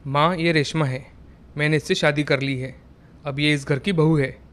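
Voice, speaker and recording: A man's voice: on the high side, steady tone, normal speed, fairly bright tone, natural voice. Man as an earnest, principled young suitor. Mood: firm. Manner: earnest, firm. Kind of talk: Hindi moral story dialogue.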